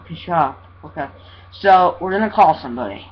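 A young man hosting an amateur prank video in casual chat, halting and bossy with his co-host. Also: a little high, lively intonation, lo-fi sound. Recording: noisy amateur home recording.

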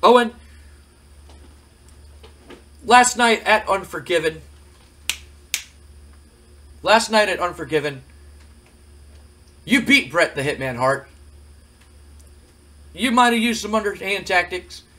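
A man plays a polite backstage interviewer at a wrestling show. He questions haltingly in a high, bright voice. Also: animated, full character voice. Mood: probing.

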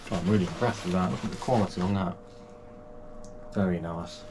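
Man in his thirties, lowish voice, casual chatty unboxing, room noise behind. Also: fairly quick. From United Kingdom. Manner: chatty and enthusiastic, with frequent fillers and restarts. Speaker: kayaking channel host. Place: small home gaming room, indoors.

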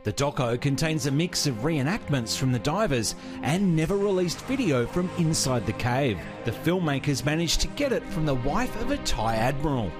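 Higher-voiced Australian male narrator over music. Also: very animated, moderate pace. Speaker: television film reporter. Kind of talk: scripted report narration.

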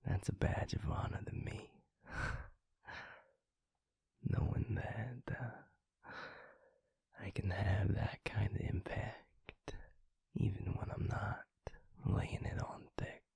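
A young man with an American accent playing an affectionate, teasing werewolf boyfriend in an intimate audio roleplay, in a hushed, breathy, husky voice. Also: fairly even intonation, no echo, exaggerated voice. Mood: tender, intimate. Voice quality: breathy, husky, gravelly low whisper. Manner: slow, hushed, intimate, pausing. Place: close, dry studio recording. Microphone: close microphone.

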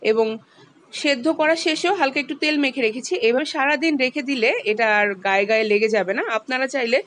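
A woman, calmly walking through a home cooking recipe step by step as the channel's host. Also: on the high side. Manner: calm, instructive, explains each step.

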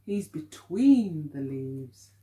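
A middle-aged woman, an early years teacher, reads a picture book aloud to young children, expressively and deliberately, with questions for them. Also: low-pitched, sing-song, mellow. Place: living room at home, indoors.

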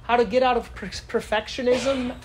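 A woman's voice: a little high, fairly even intonation, moderate pace, slight background noise. A woman giving a live lecture to a conference audience in a casual, chatty way, often asking the room questions. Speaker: conference speaker.

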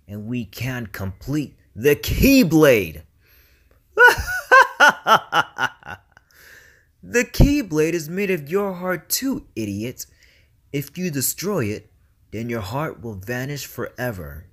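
A man voicing a sinister, taunting young villain in an amateur video game voice demo, with a husky tone. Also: medium pitch, pushed and bright, exaggerated voice. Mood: gloating, menacing. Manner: slow, taunting, menacing delivery. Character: sinister, mocking young male dark villain.

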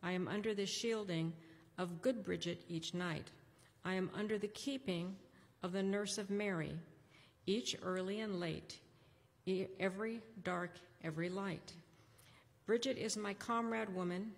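Woman playing a humble Irish saint, soft and measured, mid-pitch, echoey sanctuary. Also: natural voice. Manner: soft, measured, reverent recitation. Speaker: lay reader in costume. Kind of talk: first-person dramatic monologue. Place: church sanctuary at a lectern. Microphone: lectern microphone. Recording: echoey church hall.

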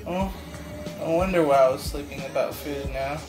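A man's voice: on the high side, moderate pace, low-quality recording. A young adult man in a casual vlog, talkative and rambling while drowsy after dental surgery. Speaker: vlogger. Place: car, small house rooms and bedroom. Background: music.

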